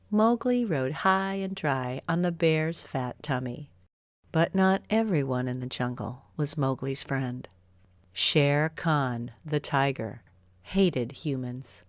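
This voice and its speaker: A woman reading a scripted children's bedtime story with expressive, careful phrasing. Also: sits low, no room sound. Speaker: storybook narrator.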